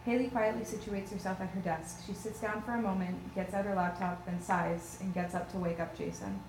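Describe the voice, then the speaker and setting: A woman's voice: mid-range voice, steady tone, fairly quick. A woman reading scripted stage directions as narrator at a table read. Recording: echoey room with clear noise.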